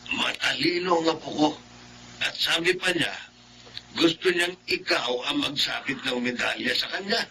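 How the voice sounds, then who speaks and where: A man's voice: fairly high pitch, normal speed, sharp tone, a little hiss or hum. A man acting a doting older father and proud grandfather in a radio drama, with a Filipino accent. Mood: gentle, proud.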